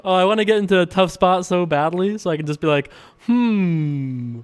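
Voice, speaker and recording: Young male poker vlogger, playful and self-amused, slightly raised pitch, clean smooth tone. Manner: jokey, chuckling, self-amused, drawn-out mock pondering. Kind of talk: casual playful commentary over online poker.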